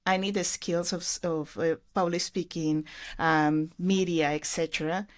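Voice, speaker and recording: Middle-aged woman guest, english, low-ish voice, earnest and reflective. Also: fairly even intonation, a little slow. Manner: earnest, reflective storytelling with fillers. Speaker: podcast guest, ministry leader. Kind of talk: conversational interview.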